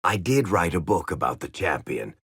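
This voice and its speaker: Gravelly American male rogue, video game banter, wry, teasing, very animated intonation, close dry sound. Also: low-pitched, normal speed, dry recording, heavily acted. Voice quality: gravelly, husky. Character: wry roguish male storyteller, sardonic and loyal. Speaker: game character voice actor.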